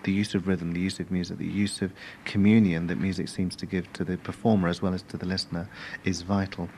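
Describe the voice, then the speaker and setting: A man's voice: low voice, level tone, fast talker, a little mellow, slight background noise. A man, an arts director, explaining in formal, articulate phrases in an interview.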